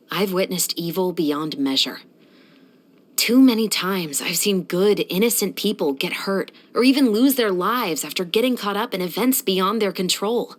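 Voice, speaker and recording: Low-voiced, composed female patrol captain. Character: stern, dutiful young female patrol captain, principled and brisk. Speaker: video game character voice actor. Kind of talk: scripted video game character voice lines.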